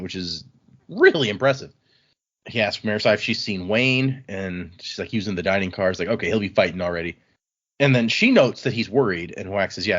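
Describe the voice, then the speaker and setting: A man's voice: mid-range voice, a bit bright. A man hosting a podcast, casually recapping book chapters in a chatty, loose way. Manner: chatty, loose summarizing with fillers. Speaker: podcast host. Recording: slightly muffled lo-fi audio.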